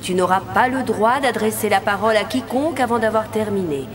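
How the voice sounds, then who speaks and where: A woman voicing a grave, mysterious fairy queen in an animated fairy tale, speaking slowly and solemnly. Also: mid-range voice, over music, natural voice. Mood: grave warning. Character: fairy queen, formerly kindly old lady, grave and mysterious.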